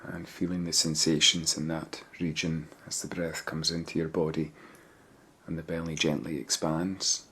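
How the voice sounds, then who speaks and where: A man leading a guided body scan meditation, giving gentle, measured instructions with pauses. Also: very deep voice, flat delivery, husky.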